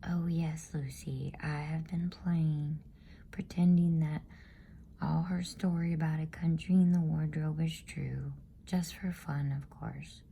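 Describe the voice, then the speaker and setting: A woman's voice: sits low, steady tone, slow, creaky, dark tone, slight background noise, exaggerated voice. A woman voicing a sulky, spiteful young boy from a storybook, in a superior, jeering whisper. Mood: smug, sneering.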